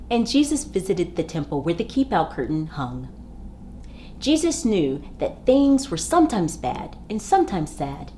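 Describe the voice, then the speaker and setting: Female storybook reader for young children: expressive and emphatic, highly varied intonation, measured pace. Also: a little hiss or hum. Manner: emphatic, dramatic phrasing in simple sentences for children.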